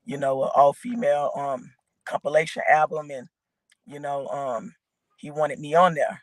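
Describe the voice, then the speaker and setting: A woman from Georgia with a raspy voice, a guest rapper rambling casually with lots of fillers. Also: low voice, moderate pace, no echo. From Augusta, Georgia, raised in Atlanta. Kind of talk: casual interview chat.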